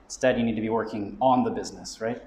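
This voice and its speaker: A man's voice: fairly low pitch, steady tone, fast talker, darkish tone, a little hiss or hum. A man speaking as a marketing expert at a live event, explaining his ideas conversationally and often checking in with "right?". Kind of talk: live talk explaining business goal setting. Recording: roomy live venue with faint background ambience.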